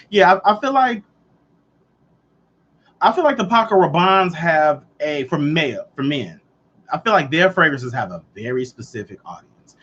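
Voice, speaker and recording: A man's voice: fairly high pitch, a bit bright, slightly rough. A man in his late thirties hosting a casual live chat, talking loosely and answering viewers as he goes. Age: 30s. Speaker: livestream host. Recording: dull lo-fi livestream audio.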